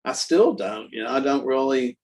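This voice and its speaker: Man in his sixties, casual interview guest, rambling, relaxed, full of fillers. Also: mid-range voice, moderate pace. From Texas. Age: 60s. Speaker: guest artist and musician.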